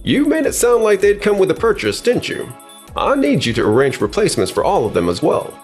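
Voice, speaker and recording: A man's voice: sits high, huge pitch swings, a little fast, faint music behind. A man playing a stern, accusing client in a manga dub, complaining in a formal manner. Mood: indignant. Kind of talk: expressive manga dub voice acting.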